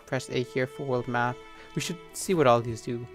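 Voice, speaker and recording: A man's voice: medium pitch, moderate pace. A man giving casual commentary as he plays a video game, at times reading on-screen text aloud. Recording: game music behind the voice.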